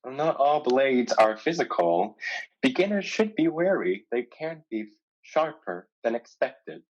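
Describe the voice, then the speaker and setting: Man as a measured, commanding pirate leader, slightly muffled. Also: mid-range voice, very animated. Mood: shrewd, smooth. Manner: measured, cautioning, authoritative. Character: shrewd, commanding male pirate crew leader. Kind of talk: staged play reading, dramatic dialogue. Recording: slightly dull, lo-fi audio.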